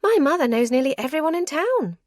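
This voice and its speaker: A woman voicing a cheerful, bubbly young woman with a bright, giggly manner. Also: high voice, very animated, fairly quick, no echo, clean studio sound, voice pushed up.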